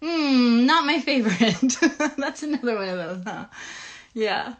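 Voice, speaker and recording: A woman co-hosting a children's puppet show, chatting casually in an encouraging, gently instructive way. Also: on the high side, expressive, a little slow. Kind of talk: casual interactive chat with children.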